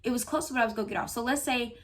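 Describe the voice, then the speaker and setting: A woman of nineteen telling a story about a job to viewers in a chatty, animated way. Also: on the high side, very fast. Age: young adult. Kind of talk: casual storytime.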